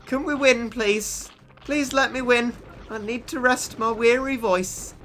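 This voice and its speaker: A young man commentating a mobile game, excitable and cheeky, stammering on his repeats, his voice getting worn from shouting. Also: very high-pitched, unhurried, soft background music. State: losing his voice. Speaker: gaming YouTuber.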